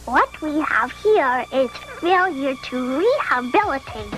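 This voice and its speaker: Tiny sugary menacing cartoon warden, super high swoopy singsong voice, music behind. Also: female voice, lively intonation, takes it slowly, low-quality recording. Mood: stern, menacing. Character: sickly-sweet, menacing little female prison warden. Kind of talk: Saturday morning cartoon acting.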